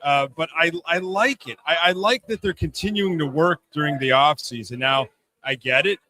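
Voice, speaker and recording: A man's voice: on the high side, normal speed, pushed and bright. A man giving casual sports commentary as a podcast panelist, thinking aloud in short phrases.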